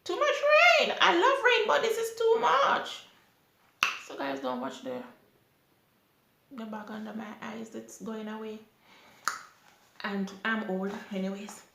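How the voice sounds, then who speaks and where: A woman's voice: fairly high pitch, gravelly, background noise. A young woman from Jamaica with a Jamaican accent, chatting casually as she answers viewer questions from her sofa. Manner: unhurried, chatty, with lively rises when emphatic. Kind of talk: casual Q&A chat. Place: small indoor room, seated on a sofa. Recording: echoey room.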